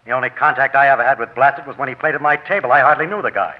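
A man's voice: medium pitch, rapid-fire, husky, close to an everyday voice. An actor playing a shifty, nervous gambling stickman, slangy and defensive, in a 1940s radio crime drama. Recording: dull, band-limited old radio broadcast.

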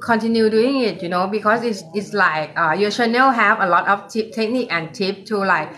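Thai-accented woman livestream host, casual, halting English, quick bursts, lots of repeated "yeah". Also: medium pitch, fairly bright tone.

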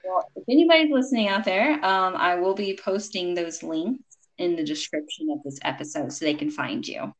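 A woman hosts a podcast interview in a friendly, encouraging tone, with a slightly low voice. She affirms her guest often and moves briskly to the next question. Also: slight background noise, poor audio. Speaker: podcast host.

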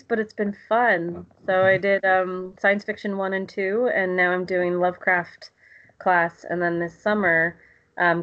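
A woman's voice: fairly low pitch, a bit bright, muffled recording. A woman from the United States, talking casually and enthusiastically as an interview guest, rambling with frequent ums. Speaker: guest, literature lecturer.

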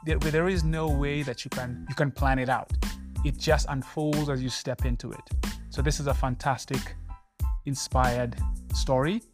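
A man's voice: mid-range voice, moderate pace, music in the background. Upbeat male podcast host. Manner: energetic, enthusiastic intro with repeated restarts. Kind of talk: podcast interview.

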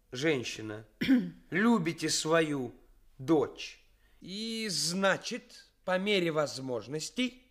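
An actor playing a brazen young stage actor who poses as a cynical swindler fiancé in a Soviet radio play, pompous and deliberate with emphatic pauses. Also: male voice, sits high, a bit bright, full character voice. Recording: studio radio play with music.

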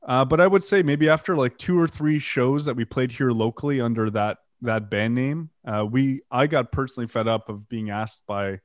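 A French Canadian man talks about his band in a relaxed, meandering way, full of "like" and restarts. His voice sits at an ordinary middle pitch. The recording is dry and close, with no room sound. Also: moderate pace, hardly any pauses, no echo. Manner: rambling with fillers, self-deprecating, swears casually. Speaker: band vocalist and guitarist. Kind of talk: casual interview, storytelling.

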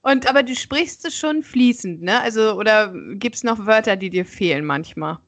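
A woman's voice: a little high, animated, normal speed, dry recording. A woman hosting a casual interview in a chatty, curious, giggly manner. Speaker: podcast host.

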